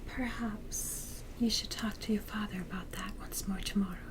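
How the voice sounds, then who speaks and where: A woman playing a gentle queen mother in a medieval ASMR roleplay, comforting her young son in a soft, breathy, reassuring whisper. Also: medium pitch, fairly even intonation, natural voice, low-quality recording. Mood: tender, soothing. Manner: slow, tender, reassuring.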